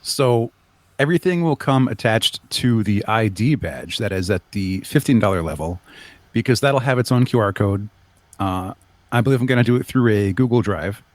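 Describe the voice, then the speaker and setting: A man's voice: fairly low pitch, no room sound. A man being interviewed as a creator, talking casually and explaining things in an earnest way. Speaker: guest, audio drama creator.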